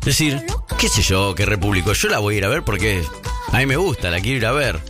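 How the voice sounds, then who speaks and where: A man's voice: lowish voice, big pitch swings, brisk, over music. A man with a Rioplatense Spanish accent hosting a radio show, insistently playing devil's advocate. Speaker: radio host. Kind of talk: radio talk debate.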